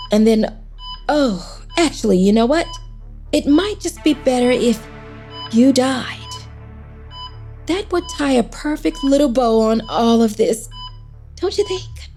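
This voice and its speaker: A woman's voice: a little high, warm tone. A woman playing a smug, cruel schemer in an audio drama, drawling condescending, sugary taunts.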